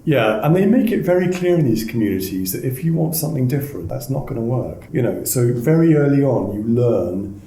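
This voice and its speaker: A man's voice: mid-range voice, lively intonation, brisk, mellow. A man, telling wry, blunt anecdotes as a casual interview guest. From South Wales. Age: 50s. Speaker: podcast guest, family lawyer. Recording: echoey room with faint noise.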